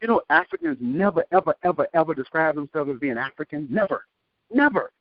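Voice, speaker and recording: A man in a casual group discussion, animated and insistent, swearing now and then. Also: on the high side, brisk, dry recording. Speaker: podcast panelist. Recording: dull dry lo-fi audio.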